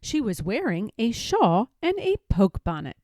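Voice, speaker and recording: A woman narrating a children's story from a script, expressive and careful in her phrasing. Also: mid-range voice, a little slow, no room sound, studio quality. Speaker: storybook reader.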